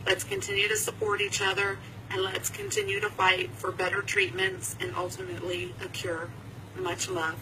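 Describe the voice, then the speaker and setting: A woman in her forties from Ohio, giving a warm, encouraging and upbeat video message of support. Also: medium pitch, little pitch movement, normal speed, noisy background. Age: 40s.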